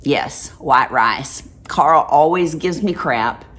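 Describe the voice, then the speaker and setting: A woman's voice: low-pitched, lively intonation, slow pace, fairly bright tone. A woman from Dallas with a strong Texan accent, hosting her channel and chatting casually with viewers, laughing often. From Dallas, Texas. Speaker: channel host.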